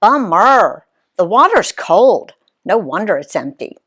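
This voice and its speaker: Woman reading a family travel story out loud, slow, voice bouncing all over. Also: husky. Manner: expressive reading, pitch swinging on key words. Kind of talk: first-person storybook read-aloud.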